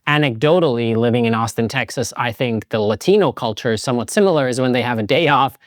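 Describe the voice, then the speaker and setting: A man's voice: medium pitch, quick pace, no room sound, studio quality. A middle-aged man from Finland, talking in a reflective, loose, casual vlog style. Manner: reflective, loose, hedging with "like" and "kind of". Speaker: vlogger.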